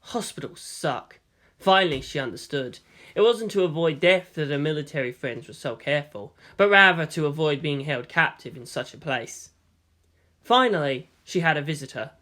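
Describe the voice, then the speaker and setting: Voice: mid-range voice, lively intonation, moderate pace, sharp tone. Audiobook narrator reading fiction.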